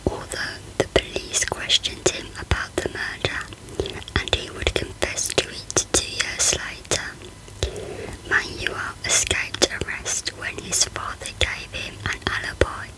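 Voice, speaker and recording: A woman hosting a true crime channel, reading out a case in a hushed ASMR whisper. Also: measured pace, poor audio.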